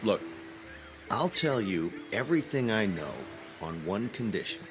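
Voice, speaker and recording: Earnest, low-voiced grad student. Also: male voice, very animated, a little slow, music in the background. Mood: conspiratorial, earnest. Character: earnest, cautious young male graduate student. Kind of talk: naturalistic audio drama acting. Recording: clear background ambience.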